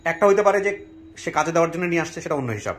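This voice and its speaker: A Bangladeshi man with a fairly high voice talks very fast as he hosts an interview. He presses with question after question, reasoning step by step and insisting on clear answers. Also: animated. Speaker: host of a real-life family reunion show.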